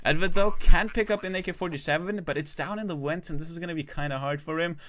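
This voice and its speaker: A man calls a competitive shooter match alone, tumbling from play-by-play into asides to his viewers. His voice is bright and forceful, and he often restarts his sentences mid-call. He keeps a casual, joking touch even while tracking the action. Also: a little high. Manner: rapid, chatty, self-interrupting, jokes with chat. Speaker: esports caster. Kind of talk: solo live game commentary.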